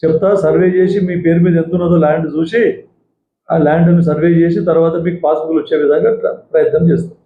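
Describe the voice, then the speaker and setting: A man with a Telangana Telugu accent fielding calls as a legislator, brisk and repeating himself as he answers. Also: a little high, little pitch movement. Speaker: elected legislator taking phone-in calls. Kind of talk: question-and-answer with callers. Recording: phone-like sound, roomy echo, noise.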